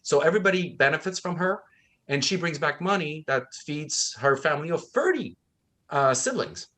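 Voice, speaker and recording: A man's voice: on the high side, animated, moderate pace, bright, forceful tone. A man who grew up in Thailand, co-hosting a book discussion, talking with conviction and acting out the people he quotes. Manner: impassioned, acts out quoted speakers. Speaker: co-host.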